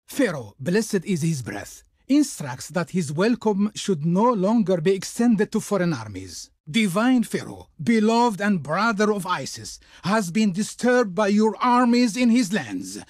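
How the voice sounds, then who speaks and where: A male actor playing a pompous, fawning Egyptian envoy in a video game, speaking ceremoniously with reverent pauses, as if declaiming for his Pharaoh. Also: high-pitched, dry recording, studio quality, exaggerated voice. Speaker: video game diplomat character. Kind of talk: theatrical video game voice acting.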